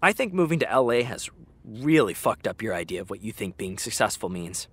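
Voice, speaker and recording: A man's voice: on the low side, big pitch swings, fairly quick, slightly rough, full character voice. An actor playing a frank, exasperated young man talking to his best friend in a naturalistic, conversational audio drama style. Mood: blunt, exasperated.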